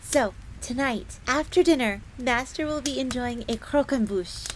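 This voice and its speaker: A woman's voice: high-pitched, a little slow. A woman playing a sweet, timid, eager young bunny maid who stammers, speaks deferentially and refers to herself in the third person in a scripted roleplay drama. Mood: proud, eager. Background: ambience, crackling fire.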